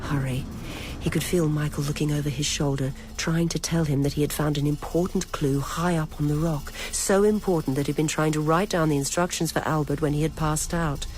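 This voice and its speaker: A narrator reading a novel aloud in a measured, literary storytelling manner. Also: medium pitch, fairly even intonation, background noise. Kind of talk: scripted audiobook-style narration of a novel.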